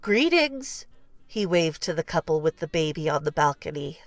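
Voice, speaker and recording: A woman narrating a children's storybook in an expressive, deliberate read-aloud style. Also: low-pitched, dry recording, faint music behind. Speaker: storybook reader.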